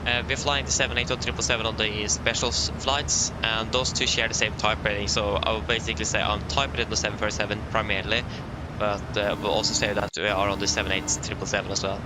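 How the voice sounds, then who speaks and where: Man, lowish voice, easygoing streamer, heavy engine noise underneath. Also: fast. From Norway. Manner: relaxed, reads chat aloud, frequent ums and uhs. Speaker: flight sim streamer. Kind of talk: live-stream chat while flying.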